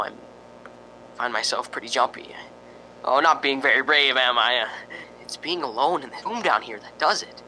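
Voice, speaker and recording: Jumpy, bright-voiced actor as a frightened radio host. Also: male voice, medium pitch, a little hiss or hum, poor audio. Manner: stammering, hesitant, exclaiming. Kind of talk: old-time radio drama acting.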